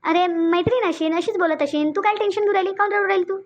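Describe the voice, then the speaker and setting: A woman's voice: very high voice, quick pace, lo-fi sound. A woman voicing a protective, reassuring elder sister-in-law in a Marathi cartoon, comforting repeatedly in Vidarbha dialect. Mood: concerned. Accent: Vidarbha (Varhadi) Marathi. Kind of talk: Marathi cartoon story dialogue.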